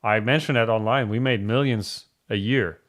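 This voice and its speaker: A man's voice: fairly low pitch, normal speed, a bit bright. Candid man chatting through viewer questions. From Germany. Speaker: host answering viewer questions. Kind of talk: casual live Q&A chat.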